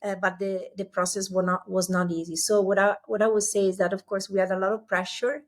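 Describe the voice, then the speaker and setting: A woman with an Italian accent, a startup CEO talking as a guest in an interview, pausing often and searching for English words. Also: mid-range voice, flat delivery. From Italy. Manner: halting, many filler sounds, searching for English words. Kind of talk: conversational interview.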